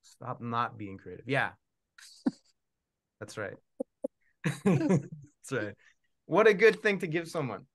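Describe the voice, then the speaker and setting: A man's voice: medium pitch, very animated, slow pace, pauses often, fairly bright tone. Middle-aged man hosting casually. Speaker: children's author and puppeteer hosting his book launch. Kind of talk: casual joking event chat. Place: room at home, on a video call.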